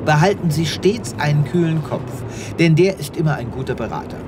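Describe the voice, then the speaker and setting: Casting: man as formal, avuncular space veterinarian, comic radio play, higher-set male voice, clear background noise. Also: lively intonation, a little fast, natural voice. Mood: advising. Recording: noisy sound-effect ambience behind.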